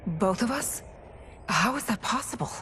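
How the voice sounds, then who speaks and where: An actress playing a bewildered, vulnerable warrior queen in a video game, halting, breathy and disoriented. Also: mid-range voice, lively intonation, pushed and bright, slight background noise. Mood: confused. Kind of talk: dramatic video game cutscene acting.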